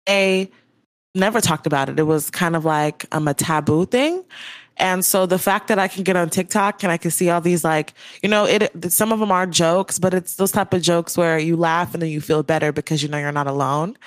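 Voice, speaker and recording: Low-voiced young woman hosting a podcast. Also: steady tone, normal speed, no echo. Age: young adult. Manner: casual, chatty, uses many "like" and "you know". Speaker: podcast host. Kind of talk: podcast interview.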